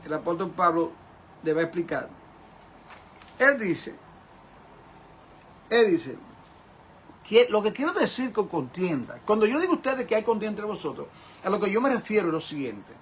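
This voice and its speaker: A man's voice: sits high, very animated, moderate pace, pauses often, slight background noise. An older man teaching a Bible class as a pastor, in an emphatic, repetitive way with rhetorical questions to his listeners. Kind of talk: sermon-like Bible lesson.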